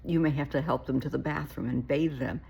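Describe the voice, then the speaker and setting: Gravelly, husky-voiced woman therapist. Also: a bass voice, fairly even intonation, a little fast, darkish tone, lo-fi sound. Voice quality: gravelly, shaky voice. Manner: earnest, pleading, repeats herself. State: getting a cold. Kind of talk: casual advice to camera.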